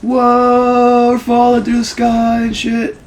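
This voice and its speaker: A man's voice: very high voice, completely flat delivery, slow pace, faint music behind. A man hosting a Let's Play, giving casual, jokey game commentary with exclamations and swearing.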